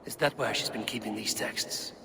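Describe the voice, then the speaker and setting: An actor playing a gruff Viking raider in a video game, muttering terse observations to himself as he investigates. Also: on the low side, steady tone, normal speed, noisy background. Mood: brooding, deducing. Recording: game music over the voice.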